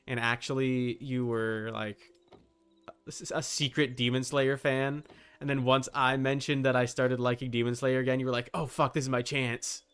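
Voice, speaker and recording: A man's voice: medium pitch, little pitch movement, normal speed, a bit bright, clean tone. A man livestreaming a game, chatting informally and teasingly with his viewers and swearing casually. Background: background music.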